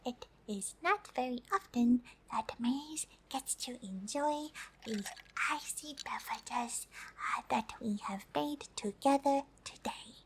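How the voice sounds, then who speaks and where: A woman's voice: a little high, expressive, slow, a little hiss or hum. A woman voicing a friendly, polite alien who is curious about humans, speaking hesitantly in an ASMR roleplay. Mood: soft, pleased. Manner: hesitant, speaks of self in third person.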